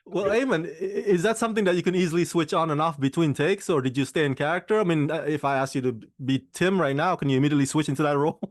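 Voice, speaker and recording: A man interviewing actors about a film, eager and quick to laugh. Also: on the high side, dry recording, clean studio sound. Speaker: film interviewer, channel host. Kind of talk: enthusiastic interview questions.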